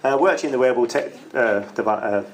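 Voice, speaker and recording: A man giving an unscripted sales pitch to a room, filling his talk with "actually" and "uh" and often repeating words. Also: rapid-fire, a little hiss or hum. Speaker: sales representative pitching a product. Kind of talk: impromptu sales pitch at a networking event. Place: event room with an audience.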